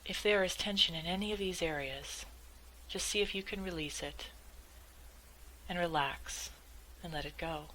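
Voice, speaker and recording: A woman leading a guided meditation in a measured, gently instructive way, as calm spoken instruction. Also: fairly low pitch, slight background noise, rough recording.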